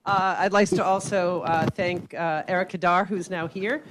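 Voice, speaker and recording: A woman hosting a grand rounds lecture, making announcements and thanks in a halting way, full of fillers. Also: fairly low pitch, steady tone, noisy background. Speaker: host of the grand rounds, division director. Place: lecture hall.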